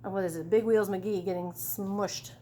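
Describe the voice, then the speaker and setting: A woman teacher reading a picture book aloud to children, chatty and animated, with casual asides about the pictures. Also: medium pitch, a little slow, a little hiss or hum.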